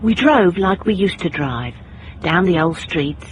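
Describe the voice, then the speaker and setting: An actress playing a sharp-tongued, quietly bitter British single woman in a radio drama, in dialogue and inner narration. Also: lowish voice, lively intonation, measured pace, slight background noise, rough recording. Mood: wistful, guarded.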